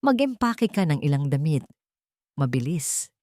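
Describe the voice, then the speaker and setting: A woman narrator voicing a protective, secretive village man, curt and forcing himself to stay calm. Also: deep voice, a little slow, no echo, studio quality. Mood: urgent. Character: protective fisherman villager, tense, secretive, urgent.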